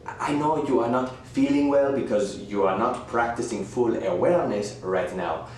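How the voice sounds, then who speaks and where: A man's voice: mid-range voice, darkish tone, lightly acted. Man acting smug manipulative houseguest, very roomy. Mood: condescending. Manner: measured, falsely reasonable, condescending. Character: smug, manipulative male houseguest who refuses to leave. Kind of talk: radio thriller acting. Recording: roomy, distant, faint background noise.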